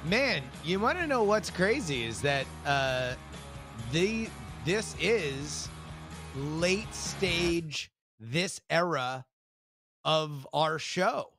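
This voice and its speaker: An American man co-hosting a podcast in casual chat, halting often and circling back over his words. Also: on the high side, lively intonation, over music. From United States. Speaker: podcast co-host.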